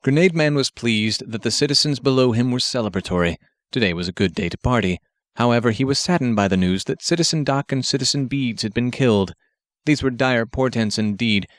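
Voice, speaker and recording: A man's voice: medium pitch, brisk, no echo, studio quality. A man narrating fiction as an audiobook reader, telling the story from a script.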